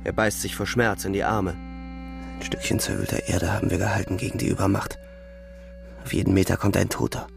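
Low, gravelly, weary soldier narrator. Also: male voice, fairly even intonation, a little fast. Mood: sombre, haunted. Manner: grave, terse, sombre phrasing. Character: young male front soldier, weary, bitter, disillusioned narrator. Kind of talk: literary first-person narration in German radio drama. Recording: loud music behind the voice.

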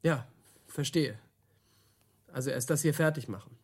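A man's voice: medium pitch, normal speed, darkish tone, slightly rough. An actor playing a curious male youth-radio interviewer, talking colloquially and a little hesitantly in a naturalistic radio drama.

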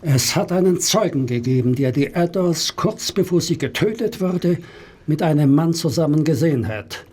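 An actor playing a confident, teasing police inspector in a radio play, with a husky voice and a persuasive manner. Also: male voice, fairly high pitch, lively intonation, moderate pace, darkish tone. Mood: businesslike. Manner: assured, persuasive.

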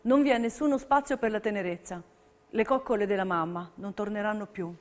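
A female stage narrator reads a scripted documentary piece. She speaks at a middling pitch, solemn and measured in her phrasing.

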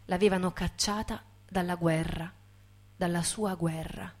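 A woman giving a dramatic, scripted stage narration with intense, measured storytelling. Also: fairly low pitch, fairly even intonation, a little mellow. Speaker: actress and narrator.

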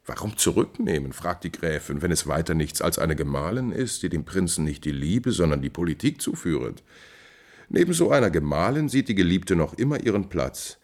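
A low male voice as a scheming courtier in a 1950s radio drama. He speaks with ironic deference, his lines insinuating and very lively in pitch. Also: fairly quick. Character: smooth-tongued, scheming male courtier serving a prince. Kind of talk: 1950s German radio play acting.

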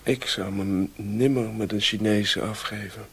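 An actor playing a scornful European drifter in a radio play, with a husky voice. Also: male voice, fairly low pitch, steady tone, unhurried, fairly bright tone, full character voice. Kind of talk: radio drama acting.

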